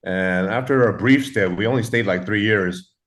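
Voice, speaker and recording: A man's voice: fairly low pitch, moderate pace, a bit bright. A man in his forties, raised in Los Angeles, telling his story as a guest in a laid-back way, full of fillers and swearing. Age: 40s. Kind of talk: casual storytelling.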